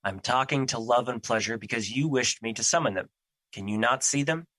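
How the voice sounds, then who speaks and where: A man plays a stern, earnest personified emotion in an audio drama. The voice is bright and forceful, recorded dry and close. The phrasing is formal and stiff, with irritation never far off. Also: medium pitch, fairly quick, no room sound, lightly acted. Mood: earnest, insistent.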